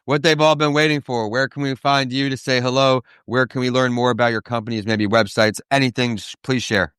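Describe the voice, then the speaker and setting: Smooth-voiced upbeat male podcast host. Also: mid-range voice, fairly even intonation, a bit bright, dry recording, studio quality. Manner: upbeat, praising, slow and emphatic at times. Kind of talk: hosting an interview.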